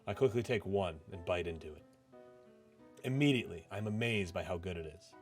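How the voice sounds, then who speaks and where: A man's voice: low-pitched, brisk. A man reading a visual novel aloud as first-person story narration. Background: music.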